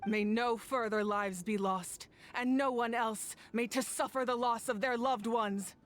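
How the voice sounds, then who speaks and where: A woman's voice: fairly high pitch, steady tone, a little slow, natural voice. A woman playing a solemn war leader in a video game, speaking in formal, rousing oratory. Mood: grave, hopeful. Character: solemn female war leader rallying her people. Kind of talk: scripted video game voice acting.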